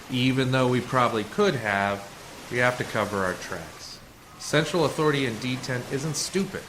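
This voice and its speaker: A man playing a calm, assured undercover rescuer in a sci-fi radio play, speaking in a measured, explanatory way. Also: medium pitch, natural voice. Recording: noisy community radio drama recording.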